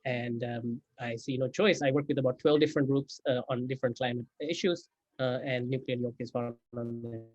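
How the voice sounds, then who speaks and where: A man from Sri Lanka, a podcast guest and energy investor, speaking haltingly with many fillers. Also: mid-range voice, steady tone, dry recording. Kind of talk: conversational interview answer. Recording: dull dry remote audio.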